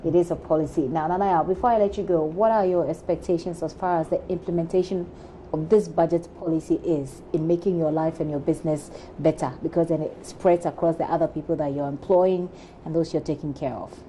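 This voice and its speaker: Female Ghanaian news host, slightly low and warm-toned, chatty interview delivery over light noise. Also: steady tone, a little fast, low-quality recording. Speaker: TV news presenter interviewing guest. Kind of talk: conversational studio interview.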